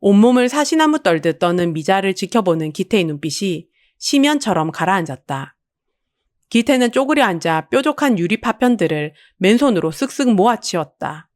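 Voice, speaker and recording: Female storyteller, dramatic audiobook narration, lively intonation, close dry sound. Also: mid-range voice, normal speed, dry recording.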